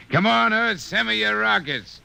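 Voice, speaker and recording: An actor playing a brash, boastful small-time food stand owner with a folksy American way of talking, in 1950s radio drama style. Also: male voice, high voice, very animated, slow pace, gravelly, sharp tone, exaggerated voice. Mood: expansive, gloating. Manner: folksy, blustering.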